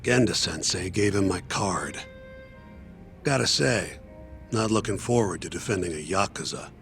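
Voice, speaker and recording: A brisk, unsentimental defense lawyer in a video game dub. His male voice is gravelly and lively in its swings, and he talks bluntly and matter-of-factly over background music. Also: sits low, heavily acted. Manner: blunt, colloquial, matter-of-fact. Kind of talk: English game dub dialogue.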